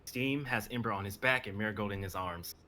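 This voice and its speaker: A man's voice: lowish voice, brisk, sharp tone, slight background noise. A man narrating stage directions in a live dramatic reading of a script.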